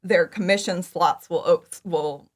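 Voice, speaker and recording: A woman speaking as a guest in a casual interview, giggly, with animated asides and lots of "like". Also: lowish voice, measured pace. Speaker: podcast guest, fan audio drama creator.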